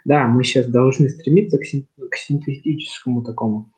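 A man's voice: medium pitch, steady tone, a little fast, a little mellow. A young man from Kazakhstan leading a casual discussion, talking in a rambling way with lots of fillers and restarts. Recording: slightly dull lo-fi sound.

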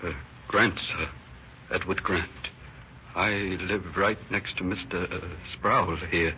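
An actor playing a meek, infirm neighbour, speaking hesitantly and deferentially in an old radio drama. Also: male voice, low voice, steady tone, slow pace, pushed and bright, exaggerated voice. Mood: meek, apologetic. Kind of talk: 1930s radio drama acting. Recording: dull, hissy old-time radio recording.

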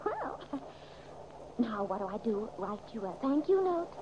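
A woman's voice: fairly high pitch, very animated, a little slow. An actress playing a frightened but plucky young woman in an old radio mystery, with a wry, flustered, hesitant manner. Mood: nervous, plucky. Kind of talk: 1940s radio mystery acting. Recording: muffled, noisy old radio recording.

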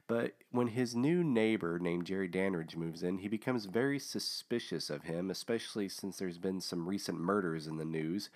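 A man hosting a casual book review, talking with many fillers and restarts, his voice a little hoarse from a recent illness. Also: sits low. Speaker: host of a book review show.

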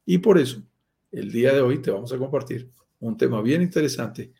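A Colombian man hosting a live stream, pitching property investment in an upbeat sales manner with frequent fillers. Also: expressive, fairly quick, gravelly, mellow. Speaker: host, sales director of a property firm.

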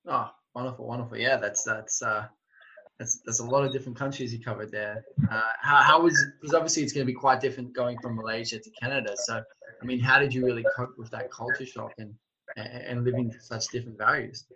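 A man's voice: medium pitch, fairly even intonation, a little fast. A man hosting a podcast, asking a guest questions in an encouraging, affirming and curious way. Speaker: podcast host. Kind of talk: casual interview questions. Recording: dull lo-fi sound with faint noise.

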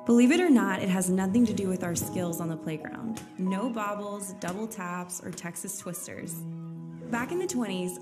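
A woman reading a scripted church explainer video in a bright, upbeat way. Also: medium pitch. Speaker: video narrator. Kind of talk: scripted narration. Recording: narration over loud music. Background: loud music.

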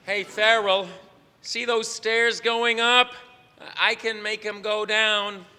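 A man's voice: high-pitched, level tone, takes it slowly, sharp tone, clear, resonant tone, exaggerated voice. A man playing a foolish, easygoing wizard who stammers and hesitates in a spaced-out way, in a comic audio play. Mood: goofy. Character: foolish, easygoing, laid-back bumbling wizard. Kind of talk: comic audio theatre acting before a live audience. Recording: long hall reverb on stage.

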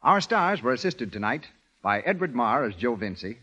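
American male announcer, husky, animated intonation, scripted 1930s radio style, dull vintage broadcast sound. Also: mid-range voice, moderate pace.

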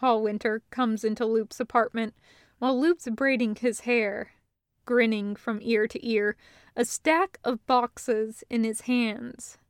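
Female audiobook narrator, higher-pitched, very slow measured read, understated delivery. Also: steady tone, no echo, clean studio sound. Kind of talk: scripted fan fiction narration.

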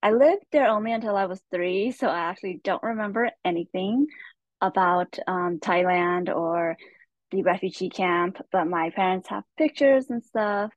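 A young woman from California telling her life story as a podcast guest, soft-spoken and hesitant with many pauses. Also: medium pitch, no echo, muffled recording. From Stockton, California.

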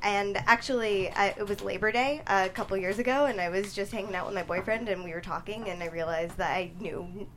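A woman with a medium-pitched voice talks as an interviewed screenwriter. She is informal and hesitant, and there is clear background noise. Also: a little fast, few pauses, a bit bright. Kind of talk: casual interview talk.

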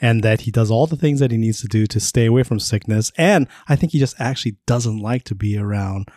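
A man's voice: on the low side, a little fast, mellow, no room sound, clean studio sound. A man in his thirties co-hosting a podcast in casual chat, talkative and reassuring, often laughing. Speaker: podcast co-host.

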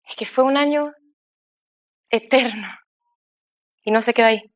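A woman from Chile with a Chilean accent, giving a scripted personal testimony with a slow, measured, emphatic delivery. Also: a little high.

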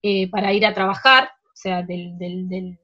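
A woman with an Argentine Spanish accent answering interview questions in a relaxed, halting way, full of fillers and restarts. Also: medium pitch. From France. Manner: hesitant, many fillers and restarts. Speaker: table tennis player, interview guest. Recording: dull lo-fi sound.

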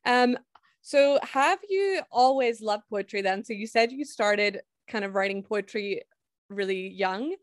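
A woman's voice: mid-range voice, animated, unhurried, no room sound, studio quality. A woman interviewing a guest, encouraging her and asking open questions. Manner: encouraging, affirming.

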